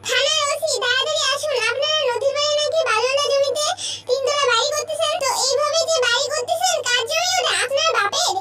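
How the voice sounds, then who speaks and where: Very squeaky bossy police chief played by a woman. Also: a little fast, few pauses, very noisy. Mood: bossy, accusing. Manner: brusque, accusing. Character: bossy police station chief making a prank call. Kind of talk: comedy skit acting.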